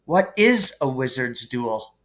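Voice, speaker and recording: Man acting young schoolboy hero, storybook, plucky, earnest, bright forceful tone. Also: medium pitch, sing-song, takes it slowly. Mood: puzzled.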